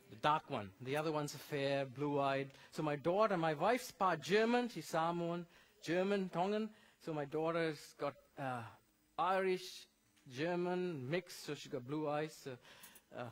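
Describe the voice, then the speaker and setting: A middle-aged man from Sri Lanka giving a conversational health talk at a church seminar, explaining medical terms simply. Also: a little high.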